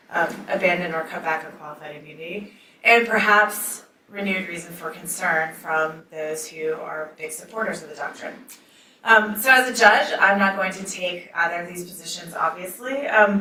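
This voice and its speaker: A soft-spoken woman judge moderating a law school debate, opening with remarks and reading from a ruling, with many ums. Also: lowish voice. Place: lecture hall. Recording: echoey hall, mic at a distance.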